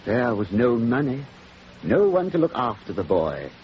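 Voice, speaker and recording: An actor playing a bookish, sorrowful village vicar with a measured, rhetorical manner in a 1940s radio drama. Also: male voice, medium pitch, big pitch swings. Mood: wistful. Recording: dull, hissy old radio recording.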